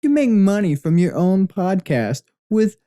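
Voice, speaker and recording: Man, podcast co-host, laid-back banter, fairly high voice. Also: sing-song, moderate pace, mellow. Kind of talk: casual podcast chat.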